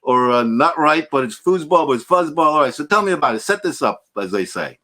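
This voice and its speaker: A man's voice: on the high side, very animated, fairly quick, pushed and bright. A man, a native Polish speaker, hosting a casual interview with joking interjections. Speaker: podcast host.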